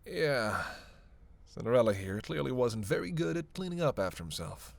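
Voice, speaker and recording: A creaky, gravel-edged male vampire played by a man in a roleplay audio drama. He talks casually and sarcastically, teasing and cursing as he goes, always playfully out for himself. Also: mid-range voice, very animated, moderate pace, dry recording. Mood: wry explaining. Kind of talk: roleplay audio drama, one-sided conversation with listener.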